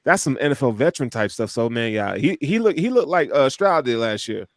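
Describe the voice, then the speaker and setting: A man's voice: mid-range voice, big pitch swings, brisk, no room sound. A man co-hosting a sports podcast, chatting casually in an emphatic, slangy way.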